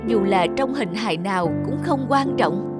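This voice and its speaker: An actress playing a gentle, sorrowful village wife and mother in a cartoon, plaintive and earnest. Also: medium pitch, lively intonation, normal speed, loud music behind the voice, lightly acted. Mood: sad, resigned. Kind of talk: cartoon voice acting.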